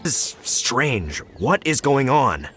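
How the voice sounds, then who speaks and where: A man's voice: mid-range voice, sing-song, takes it slowly, a bit bright, soft background music. A man playing an earnest, grandly formal contest judge in a video game. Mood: puzzled. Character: earnest, ceremonious man judging a cooking contest. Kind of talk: video game dialogue acting.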